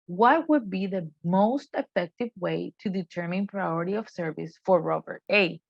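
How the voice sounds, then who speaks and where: A woman whose first language is not English, speaking English with an accent and explaining program rules in a deliberate training presentation. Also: on the low side, vocal fry, no echo, muffled recording. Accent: non-native English. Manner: deliberate, explanatory, stresses key terms.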